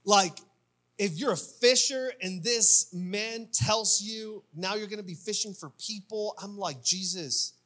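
High-pitched, unhurried male preacher. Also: sharp tone. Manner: emphatic, repeating phrases for effect; conversational, informal asides. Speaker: pastor preaching at a church service. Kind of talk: sermon. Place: church stage indoors. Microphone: handheld microphone.

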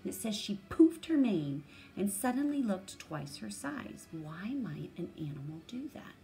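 A woman in her thirties reading a children's story aloud and pausing to explain words, thinking aloud like a teacher. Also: mid-range voice, very animated, gravelly, dark tone. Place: small room at home, indoors.

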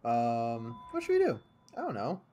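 A man's voice: medium pitch, huge pitch swings, moderate pace, warm tone. A young man streaming a game from his home room, chatting casually with plenty of fillers and restarts. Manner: rambling, many fillers and restarts. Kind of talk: casual chat while gaming.

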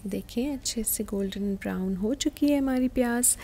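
A woman with a high, slightly creaky-edged voice walks viewers through a recipe step by step. She speaks to them directly as an instructor, with clear sizzling and stirring noise behind her. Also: normal speed, dark tone. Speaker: cooking show host. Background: frying, sizzling and stirring.

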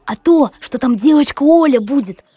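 A woman playing an eager, headstrong schoolboy in a cartoon, brisk and demanding. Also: high-pitched, animated, dark tone, no echo. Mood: impatient. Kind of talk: animated cartoon acting. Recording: music under the voice.

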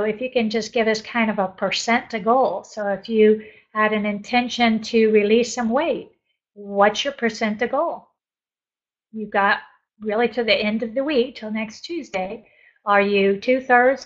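Older woman, group coach, upbeat and encouraging, fairly high voice, dull lo-fi webcam sound, home office. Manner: upbeat, encouraging, laughs often. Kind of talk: live webinar coaching, reading chat aloud.

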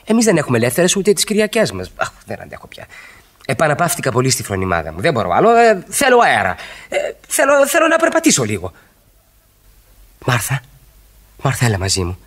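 Restless romantic husband, swooping delivery. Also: male voice, mid-range voice, huge pitch swings. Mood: pleading, ardent. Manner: rhetorical, emphatic, repeats phrases. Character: restless romantic husband of thirty-five, yearning and eloquent. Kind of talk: 1960s radio play acting.

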